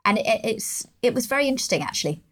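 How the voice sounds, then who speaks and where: A woman's voice: fairly high pitch, very animated, very fast, fairly bright tone. A woman hosting a music review show, listening closely and asking curious follow-up questions. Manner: attentive, curious. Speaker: host. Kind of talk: interview conversation.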